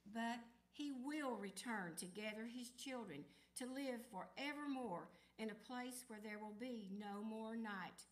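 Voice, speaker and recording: Woman reading scripture slowly in church. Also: a little high. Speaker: cantata narrator. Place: large church sanctuary.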